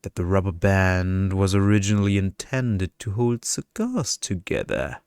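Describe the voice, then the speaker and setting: A man's voice: low-pitched, sing-song, measured pace, vocal fry, a little mellow, clear, resonant tone, dry recording, clean studio sound, full character voice. A man voicing a pedantic, seductive energy vampire in an ASMR story, lecturing in a pompous drone. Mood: droningly enthusiastic. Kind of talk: ASMR storybook character reading.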